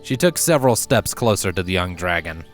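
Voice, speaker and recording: A man reading a fiction story aloud as its narrator, in an expressive scripted style. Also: sits low, fairly quick, faint music behind.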